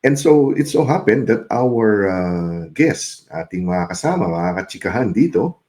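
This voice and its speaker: Male podcast host, low and mellow, easygoing code-switching chat. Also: normal speed. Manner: mixes English and Filipino, relaxed, chuckling, many fillers. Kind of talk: casual interview chat. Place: New Zealand.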